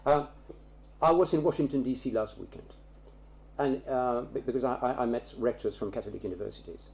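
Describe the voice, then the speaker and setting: Emphatic man with a mellow, dark voice. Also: mid-range voice, brisk. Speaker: academic panelist. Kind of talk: academic round-table discussion.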